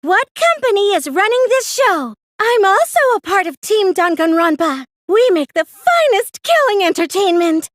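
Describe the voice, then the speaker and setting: Game villain: young cosplayer mastermind, piercingly high, very animated, taunting, dry close recording. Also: female voice, no room sound, full character voice. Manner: theatrical, gloating, taunting. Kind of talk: anime game voice acting.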